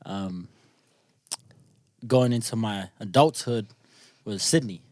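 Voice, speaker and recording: A man's voice: on the low side, slow, a little mellow, no echo. A young man born in New Zealand and raised in California, chatting loosely as a podcast guest, dropping "bro" and "man" a lot. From born in New Zealand, grew up in California. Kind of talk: casual chat.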